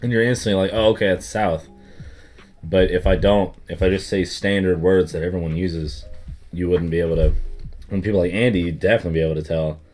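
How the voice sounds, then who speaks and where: A man's voice: sits low, steady tone, fairly quick, a little mellow. A young man with a light Southern US accent, co-hosting a casual chat and rambling with lots of fillers. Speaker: co-host. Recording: faint music underneath.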